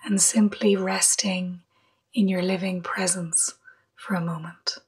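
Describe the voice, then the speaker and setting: A woman leading a guided meditation in a soft, breathy voice, gently, with long pauses between short phrases. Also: lowish voice, steady tone. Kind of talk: guided meditation, slow and measured.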